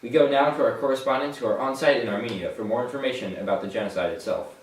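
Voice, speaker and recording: Young male student anchor, rapid scripted delivery, recorded in an echoey room at a distance. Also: medium pitch, a little hiss or hum. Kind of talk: scripted news report.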